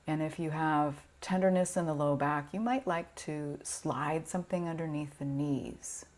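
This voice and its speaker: A woman teaching yoga nidra, guiding a relaxation meditation in a gentle, soothing, unhurried way with pauses. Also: low-pitched, steady tone.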